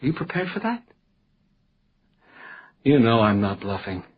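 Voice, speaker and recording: An actor playing a cold, cunning criminal who poses as a judge, measured and menacing in a radio crime drama. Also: male voice, medium pitch, sing-song, husky, dull audio. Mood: quietly menacing.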